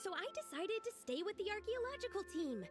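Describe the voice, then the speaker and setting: A woman's voice: extremely high pitch, very animated, brisk, over music. An actress playing an earnest, dramatic young lady with big ambitions in a video game, speaking expressively. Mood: proud, eager.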